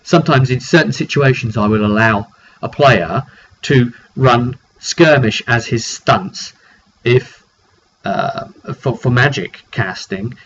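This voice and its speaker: Male game master, mid-pitched, casual off-the-cuff storyteller with halting delivery; muffled home-grade recording. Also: a bit bright. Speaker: game master recounting a tabletop session. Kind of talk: casual, improvised storytelling of a fantasy roleplay game. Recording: dull lo-fi audio with faint noise.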